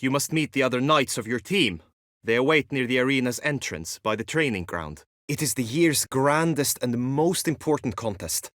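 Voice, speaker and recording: A man's voice: mid-range voice, a bit bright, dry recording, clean studio sound. A man playing an earnest, lovestruck young knight in a fantasy video game, speaking in formal, archaic, courtly phrases. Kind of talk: video game voice acting, medieval fantasy drama.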